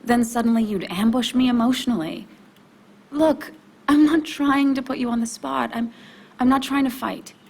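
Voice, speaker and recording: An actress playing an emotionally raw but determined young woman in a video game, speaking in a halting, confessional way. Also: on the high side, creaky, darkish tone, slightly rough. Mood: defensive, upset. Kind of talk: naturalistic video game acting.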